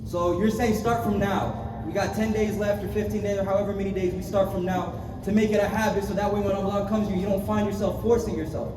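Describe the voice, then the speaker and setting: A man whose first language is Arabic leads a youth class in a casual, animated way, teasing and coaxing the group. His voice sits high. It comes from far off in a reverberant room, with steady background noise. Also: fast, hardly any pauses. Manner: playful, animated, addresses the group directly. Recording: echoey room recorded from afar.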